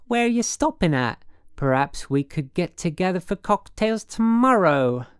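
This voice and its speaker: A woman's voice: on the low side, sing-song, a little slow, smooth, dry recording, studio quality, voice pushed up. A woman voicing a brassy working gal in an audiobook, slangy and suspicious, with affectedly genteel airs. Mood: flirty, gracious.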